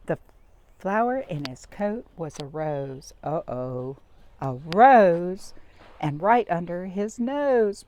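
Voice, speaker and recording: A woman reading a picture book aloud to young children, expressive, with dramatic gasps and questions to her listeners. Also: medium pitch. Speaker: storytime reader.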